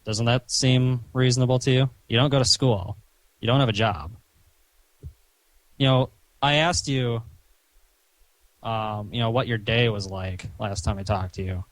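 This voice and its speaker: A man talking on a recorded phone call in a stern, chiding, halting way, padding his sentences with "you know". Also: mid-range voice, steady tone, dry recording. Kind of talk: phone conversation, reproachful lecturing.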